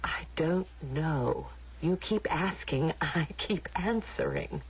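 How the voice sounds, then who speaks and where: A dull vintage radio recording of a miserable young woman in a false paradise. Her voice is husky and swings widely in pitch, plaintive and stubbornly emotional. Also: huge pitch swings, takes it slowly, full character voice. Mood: weary, resentful. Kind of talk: old radio drama acting.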